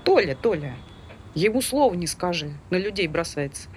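A woman's voice: lowish voice, very animated, moderate pace, a little mellow. An actress playing a prim, opinionated woman who speaks in an indignant, formal, lecturing manner in a stage drama. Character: prim, opinionated, scolding female dog owner. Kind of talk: naturalistic stage dialogue. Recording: noisy ambient background with street sounds.

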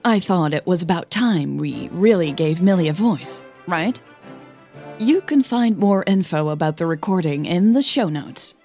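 A woman who narrates and hosts a fiction podcast, telling the story in a measured, dramatic way with deliberate pauses. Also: lowish voice, expressive, darkish tone, faint music behind. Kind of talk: scripted audio fiction narration.

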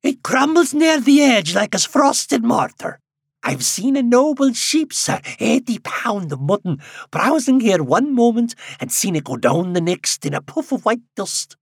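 Man putting on an excitable, high-pitched old seaside rustic. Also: husky, dry recording, clean studio sound, heavily acted. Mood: urgent warning. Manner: archaic rustic speech, drawn-out exclamations.

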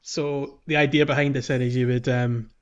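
A man's voice: mid-range voice, little pitch movement, moderate pace, clear, resonant tone. Muffled, casual man chatting about gaming. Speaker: podcast host. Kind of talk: casual chat about a video game.

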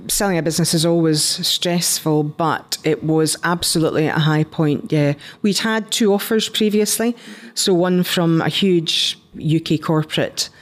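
A woman's voice: sits low, steady tone, unhurried. A woman in her fifties with a Scottish accent, telling her career story conversationally as an interview guest. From Scotland. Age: 50s. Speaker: interview guest, business founder.

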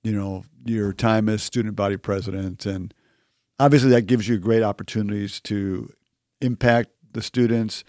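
A man's voice: on the low side, fairly even intonation, normal speed, a little mellow, no echo, clean studio sound. A man interviewing a student, asking encouraging follow-up questions in a conversational way.

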